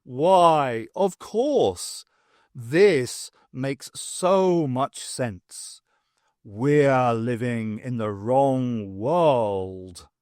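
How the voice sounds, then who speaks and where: A man's voice: mid-range voice, expressive, extremely slow, a little mellow, no room sound, studio quality. A man voicing a pompous, stately male alien leader in an audiobook, haughty and grand. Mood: wry, grinning. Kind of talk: audiobook character voice.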